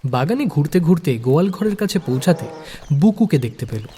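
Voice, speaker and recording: Husky guy reading a story dramatically, voice kinda high and very animated, big reverb. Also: brisk. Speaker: narrator. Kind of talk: scripted dramatic story narration. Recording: long hall-like reverb.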